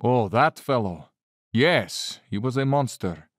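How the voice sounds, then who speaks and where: An actor in an audio drama playing an urbane Cossack general and hunter, courtly and self-satisfied, with quiet menace. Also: male voice, medium pitch, expressive, slow, no room sound, studio quality. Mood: proud, reminiscing.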